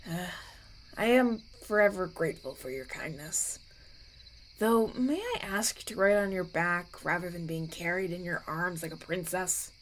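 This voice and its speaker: A man's voice: high voice, measured pace, fairly bright tone, a little hiss or hum, poor audio. A man playing a polite, anxious young runaway prince in an audio roleplay, stammering and hesitant as he talks. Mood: flustered, grateful. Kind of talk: ASMR audio roleplay, acted one-sided dialogue.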